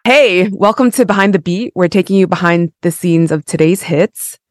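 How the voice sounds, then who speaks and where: A woman's voice: on the low side, moderate pace. A woman hosting a podcast, moving between a scripted introduction and casual interview chat. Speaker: podcast host.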